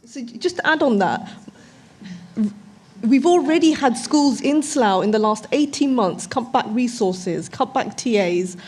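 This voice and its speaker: A woman argues as a debate panellist, measured and hesitant as she lists her points. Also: on the high side, lively intonation, darkish tone. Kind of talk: spontaneous argument in a public debate.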